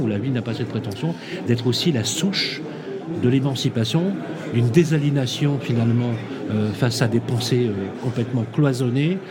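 A man interviewing a guest, talking hesitantly and searching for his words, with frequent fillers and restarts. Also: mid-range voice. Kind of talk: conversational interview.

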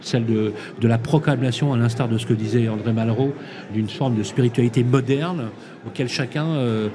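A man's voice: medium pitch, normal speed, a crowd in the background. A man interviewing a guest, talking hesitantly and searching for his words, with frequent fillers and restarts. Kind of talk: conversational interview.

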